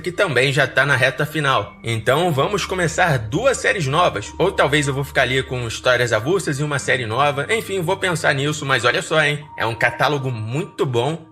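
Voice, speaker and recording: A man's voice: on the high side, sharp tone. A Brazilian man hosting a podcast, chatting to listeners in an informal, slangy, enthusiastic way. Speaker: horror podcast host and narrator. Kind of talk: casual outro chat to listeners. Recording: music behind the voice. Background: music.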